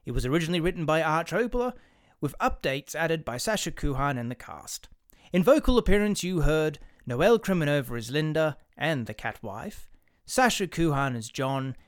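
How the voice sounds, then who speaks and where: A man presents a radio play's scripted credits. His voice is smooth and resonant, pitched a little high, with a formal announcer's delivery. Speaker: radio play presenter and producer.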